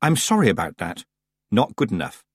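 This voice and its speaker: A man voicing a stern, commanding old female country witch who speaks calmly and deliberately in a storybook reading. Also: on the low side, husky, dry recording, studio quality, exaggerated voice. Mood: brisk, stern. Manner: calm, deliberate, rustic grammar.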